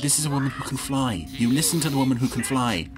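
A man's voice: mid-range voice, animated, fast talker, loud music behind the voice. A man co-hosting a podcast, casually commenting with wry, sarcastic asides while a clip from a show plays. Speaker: podcast co-host reviewing an episode.

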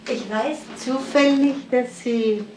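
High-voiced, demanding older lady. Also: slow, darkish tone. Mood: insistent, coaxing. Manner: reproachful, insistent. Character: demanding, wheedling older lady devoted to her dog. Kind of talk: 1970s German radio drama acting. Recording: slightly noisy 1970s radio recording.

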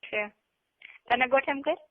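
Woman, twenties, high voice, casual and noncommittal, heard over a narrow telephone line. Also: a little slow. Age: 20s. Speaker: call participant. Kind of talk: casual private phone chat. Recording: tinny phone call recording.

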